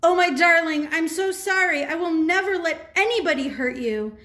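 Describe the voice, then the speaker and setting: A woman's voice: very high-pitched, normal speed, fairly bright tone, voice pushed up. A woman voicing a doting, generous, easily led king in a children's puppet show, with an effusive manner. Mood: protective.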